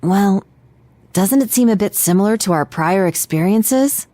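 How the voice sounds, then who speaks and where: An earnest, analytical teenage detective voiced by a woman in a video game. The pitch is medium with lively movement, and the diction is polite and exact. It is recorded very dry. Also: unhurried, dry recording, lightly acted. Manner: polite, precise, formal diction.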